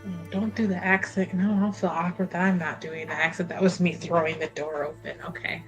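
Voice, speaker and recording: A woman's voice: lowish voice, level tone, fairly quick, highs cut off, music in the background. A woman who is a player in a tabletop game, chatting casually and improvising narration.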